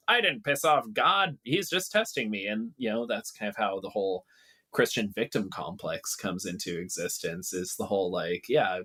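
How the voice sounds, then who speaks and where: Man, somewhat low pitch, casual explainer, slangy, informal storytelling. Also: bright, forceful tone. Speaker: podcast guest.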